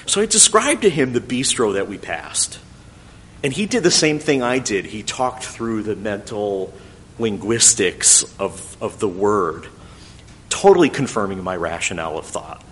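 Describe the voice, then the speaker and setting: A middle-aged man whose first language is French, telling a thoughtful, gently wry personal story in English. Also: on the low side, animated, measured pace, slight background noise.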